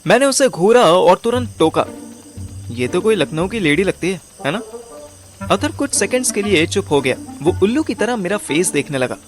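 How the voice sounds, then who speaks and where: Man acting wry higher-pitched male detective storyteller. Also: very animated, normal speed, faint music behind. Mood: wry narrating. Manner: wry, colloquial. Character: overweight, scheming male amateur detective telling his story. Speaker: narrator and lead character. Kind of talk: Hindi audio drama narration and dialogue.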